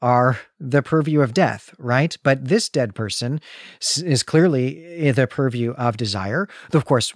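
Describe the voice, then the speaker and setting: A man's voice: mid-range voice, no echo, studio quality. A man in his forties hosting a conversational literary discussion, analytical and given to thoughtful asides. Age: 40s. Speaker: podcast host.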